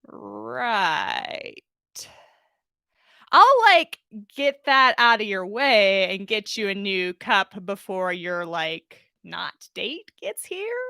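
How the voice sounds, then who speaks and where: Casting: woman as a bored young slacker waitress for storytelling, unbothered, halting, filler-heavy delivery with a lively lilt. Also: mid-range voice, vocal fry, no room sound, studio quality, heavily acted. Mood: indifferent. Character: bored, slouchy young female tea-shop server, gum-chewing delinquent.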